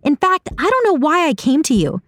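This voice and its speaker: A woman's voice: a little high, very animated, a little slow, voice pushed up. A woman voicing an indignant, uneasy woman in an audiobook, with an incredulous, emphatic and challenging delivery. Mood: angry. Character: Saga, indignant hard-drinking woman, uneasy and accusing. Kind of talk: audiobook character dialogue.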